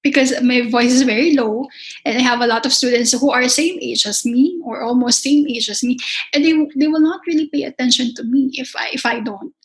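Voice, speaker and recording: High-voiced young Filipino-accented woman. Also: fairly quick, poor audio. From Philippines. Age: young adult. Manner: hesitant, repeats words while searching. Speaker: culinary instructor, guest. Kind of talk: casual interview conversation.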